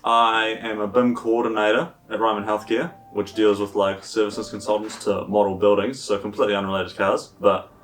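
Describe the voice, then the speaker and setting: A man's voice: fairly low pitch, level tone, fairly quick, a bit bright. A man in his twenties telling his story in a documentary interview, chatty with dry humour. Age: 20s. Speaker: drift driver interviewee. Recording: distant mic with music bed. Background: guitar music.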